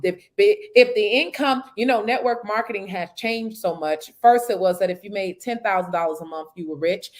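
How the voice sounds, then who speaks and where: Emphatic woman in her thirties, preaching success. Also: fairly high pitch. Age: 30s. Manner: preachy, emphatic, affirms with "come on" and "mm". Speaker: network marketing mentor. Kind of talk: motivational casual chat.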